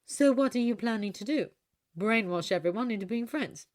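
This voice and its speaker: A woman's voice: fairly high pitch, lively intonation, normal speed, pitched above the performer's own voice, natural voice. A woman voicing a reserved, guarded young woman in a storybook reading, speaking in short, terse lines. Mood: wry.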